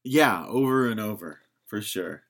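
A man's voice: lowish voice, lively intonation, measured pace. A man co-hosting a podcast, chatting about film in a joking, conversational manner. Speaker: podcast co-host. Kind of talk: casual film discussion.

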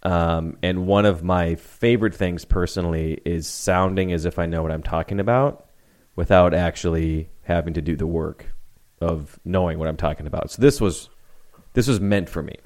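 A deep-voiced man co-hosting a loose sports podcast. He rambles, jokes and mocks himself as he talks. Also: fairly even intonation, normal speed, a little mellow. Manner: joking, rambling, self-mocking. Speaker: podcast co-host. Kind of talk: casual sports chat.

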